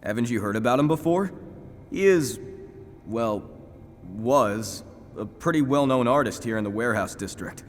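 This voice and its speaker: A man acts out a jaded police detective of medium pitch in an audio drama. He is plain-spoken, casual and matter-of-fact. His voice rings with long reverb over faint ambient noise. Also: expressive, moderate pace, lightly acted. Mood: informative.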